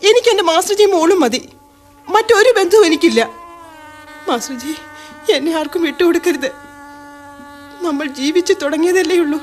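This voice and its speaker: A woman's voice: very high-pitched, expressive, fairly quick, pauses often, faint music behind. A woman playing a young wife in a radio drama, tearful and begging not to be taken away. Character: young wife, frightened and clinging.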